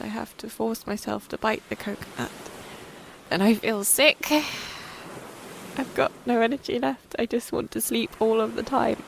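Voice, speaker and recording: Woman acting a despairing high-voiced castaway woman. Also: gravelly, slight background noise. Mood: weary, despairing. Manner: weary, raw, emotional. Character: despairing injured woman castaway stranded alone on an island. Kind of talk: audio drama monologue, confessional diary. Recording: music behind the voice.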